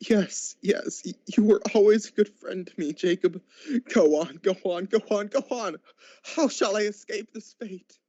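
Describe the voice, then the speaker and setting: A man's voice: high voice, moderate pace, dark tone, no room sound. A teenage male actor playing an anxious, grumbling old miser in a radio drama, stammering and repeating his words nervously. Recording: dull lo-fi amateur recording.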